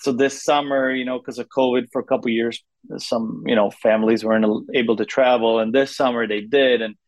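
A man in his thirties, originally from Sweden and a native Swedish speaker, talks through interview answers in a loose, rambling way, full of "you know" and restarts. His pitch sits mid-range. The sound is dry and close, with no room around it. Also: fairly even intonation, brisk, no room sound. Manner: rambling, many fillers and restarts. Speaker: retired hockey player, guest.

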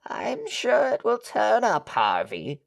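Actress as pleading older woman, high-pitched, slow, creaky, frightened, radio play, music behind. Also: huge pitch swings, heavily acted. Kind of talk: audio drama acting.

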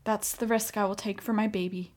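A woman's voice: medium pitch, fairly even intonation, brisk, a little mellow, lightly acted. A woman acting in a radio play as an anguished, defiant young Armenian mother, pleading with feeling. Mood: resolute, sorrowful. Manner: impassioned, pleading.

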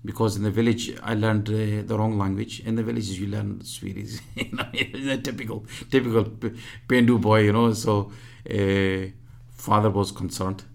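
A man in his fifties from Pakistan, reminiscing in an interview in a halting way full of fillers. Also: lowish voice, fairly even intonation, vocal fry. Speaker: guest.

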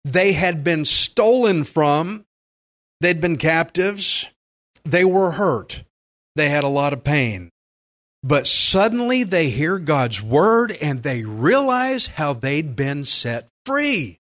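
A man's voice: on the high side, sing-song, takes it slowly, fairly bright tone. A man preaching a conversational Bible-teaching sermon as a pastor, plainspoken and emphatic, repeating phrases for stress.

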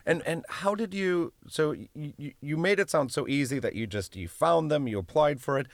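A man's voice: a little high, expressive, normal speed, creaky, no echo. A man hosting a radio interview in a studio, asking questions with many restarts and fillers. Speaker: radio show host.

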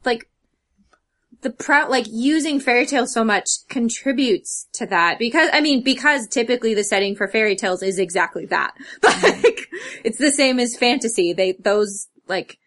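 Woman in casual, halting podcast chat. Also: a little high, animated, fairly bright tone. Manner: earnest, halting, filler-heavy with frequent "like". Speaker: podcast co-host. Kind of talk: casual chat about fandom and stories.

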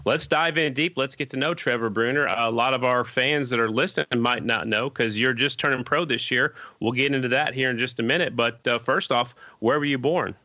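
Podcast host guy, chill friendly interviewer, bright voice. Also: medium pitch, brisk, hardly any pauses, dry recording. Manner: friendly, easygoing, says "right on" a lot. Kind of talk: casual interview.

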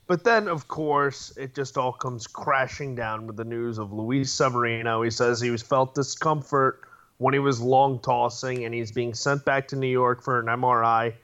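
A man's voice: medium pitch, fairly even intonation, normal speed, fairly bright tone. A man with a mid-range voice co-hosts a sports podcast, speaking firmly and stressing his opinions. His recording sounds a little dull and muffled. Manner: emphatic and opinionated. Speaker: podcast co-host. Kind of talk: casual sports podcast chat.

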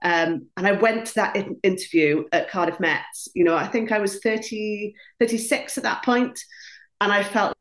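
A woman's voice: medium pitch, fairly even intonation, normal speed. Chatty Welsh-speaking woman, roomy sound. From Wales. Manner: chatty, enthusiastic, frequent ums. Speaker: guest, dietitian and former baking contestant. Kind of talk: interview. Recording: roomy echo.

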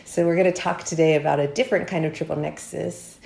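A woman interviewing a guest on a podcast, asking friendly, measured questions. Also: deep voice, steady tone, creaky, darkish tone. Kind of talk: conversational interview. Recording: echoey room.

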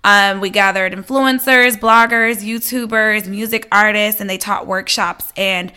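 A woman's voice: medium pitch, steady tone, unhurried, bright, forceful tone, clear, resonant tone. A woman in her twenties from Atlanta, talking casually as an interview guest. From Atlanta, United States. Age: 20s. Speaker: podcast guest, minister.

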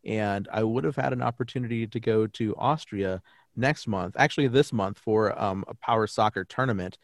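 A man's voice: fairly low pitch, moderate pace, no room sound, clean studio sound. A young adult man, a native English speaker who teaches German, talking earnestly and reflectively in a podcast interview, often restarting his sentences.

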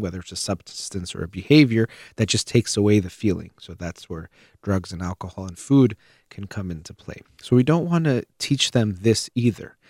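Low-voiced man, calm and measured. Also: no echo, studio quality. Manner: measured, thoughtful, with fillers and pauses. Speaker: host. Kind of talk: reflective solo talk on parenting.

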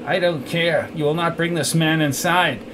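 A man's voice: on the high side, fairly even intonation, normal speed, lightly acted. A man voicing a stocky, hostile guard in an audiobook, challenging and unyielding, with hum behind him.

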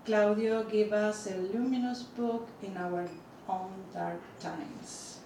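Woman reading her prepared remarks slow and measured, big echo, noise behind. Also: mid-range voice. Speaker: presenter at a book event. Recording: echoey room from a distant mic, noisy.

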